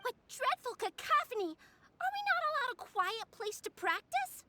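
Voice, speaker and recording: A woman's voice: very high-pitched, sing-song, normal speed, sharp tone, dry recording, heavily acted. A woman voicing a haughty, easily exasperated little noble fairy spirit in a video game, with pompous, theatrical and formal delivery. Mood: indignant, proud.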